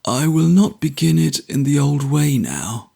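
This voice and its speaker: A man voicing a gravely ill young man who speaks slowly, faintly and earnestly. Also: fairly high pitch, very animated, dark tone, voice pushed up, exaggerated voice. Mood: weak, tender.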